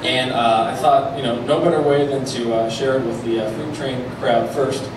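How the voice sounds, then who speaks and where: A man's voice: mid-range voice, steady tone, normal speed. A man doing a live comic storytelling performance, reading and talking to a room from a stage. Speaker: comedic storyteller. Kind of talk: live reading of a mock children's rhyme. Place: live venue stage before an audience. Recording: very echoey, noisy live room, far mic.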